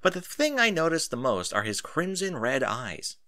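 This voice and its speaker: A man narrating a first-person story in an animated audiobook style. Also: a little high, moderate pace, no room sound, studio quality.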